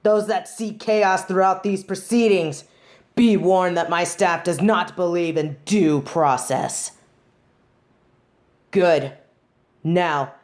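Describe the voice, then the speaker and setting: A woman's voice: lowish voice, a little mellow. A woman playing a haughty, commanding angel judge in a scripted audio roleplay, speaking in a pompous, formal, theatrical manner. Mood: commanding, stern.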